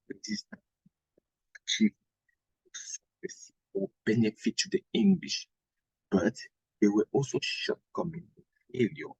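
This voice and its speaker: A man teaching an exam-prep lesson in a deliberate, didactic way, posing questions and answering them himself, with a husky, gravelly voice. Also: mid-range voice, a little mellow, no room sound, dull audio. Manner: didactic, deliberate, checks with "right?" and rhetorical questions. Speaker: history teacher preparing exam candidates. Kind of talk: instructional exam-prep lecture.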